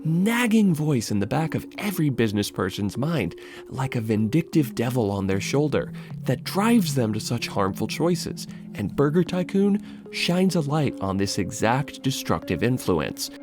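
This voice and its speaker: Animated, sardonic man narrating a video essay. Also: medium pitch, unhurried, over music. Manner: conversational, sardonic, emphatic, swears casually. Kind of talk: scripted video essay narration with casual asides.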